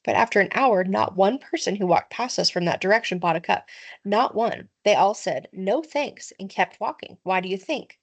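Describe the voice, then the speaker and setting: A woman voicing an eager, scheming schoolboy in a storybook, excitable, earnest and emphatic. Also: medium pitch, normal speed. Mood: puzzled.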